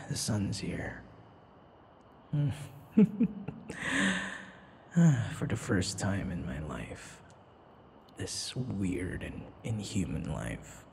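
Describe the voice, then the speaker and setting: A man playing an obsessive, lovesick young vampire in an intimate ASMR roleplay, breathy and whispery, halting and full of sighs. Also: low voice, darkish tone, slight background noise, full character voice. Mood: tender, fatalistic. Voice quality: breathy, often whispery, creaky.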